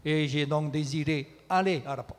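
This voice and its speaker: A man interpreting a sermon in a church, measured and sometimes hesitant. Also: on the high side, big-room sound. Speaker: pastor and interpreter. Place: church hall.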